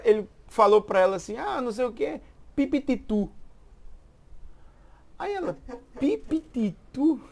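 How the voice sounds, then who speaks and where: A woman's voice: medium pitch, darkish tone. A woman talking casually on a live stream and explaining a point like a language teacher, repeating herself and asking if the listener follows.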